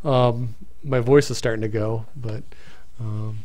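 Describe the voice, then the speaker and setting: A man's voice: mid-range voice, fairly even intonation, unhurried, darkish tone. Male stream host, casual and rambling, voice wearing out. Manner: rambling, many restarts and fillers. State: voice getting tired. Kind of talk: casual live-stream chat.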